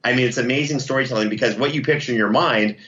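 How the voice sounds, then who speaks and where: Bright, forceful man being interviewed. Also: medium pitch, rapid-fire, highs cut off. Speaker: podcast host and producer, interview guest. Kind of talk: conversational interview.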